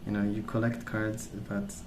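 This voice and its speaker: A man rambling casually in a soft-spoken ASMR style, hesitant and meandering as he hosts. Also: fairly low pitch, completely flat delivery, moderate pace, reverberant, background noise. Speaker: ASMR video host.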